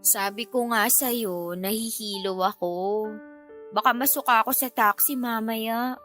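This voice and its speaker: A woman's voice: a little high, steady tone, unhurried, a bit bright, faint music behind. An actress playing a coy, teasing, tipsy young woman in a radio romance drama. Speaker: voice actor.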